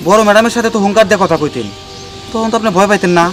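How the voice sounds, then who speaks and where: A man acts as a cowardly, meddling servant in a comedy drama. His voice is high and quick, deferential yet chatty, with a Bangladeshi accent. Music runs behind the speech. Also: close to an everyday voice. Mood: curious. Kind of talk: Bengali comedy drama acting.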